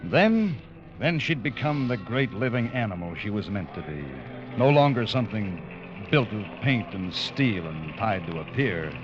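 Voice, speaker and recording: An actor playing a reserved first officer of a ship who narrates a 1940s radio play, formal and terse in dialogue. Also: male voice, on the low side, very animated, normal speed. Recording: lo-fi vintage radio broadcast with music.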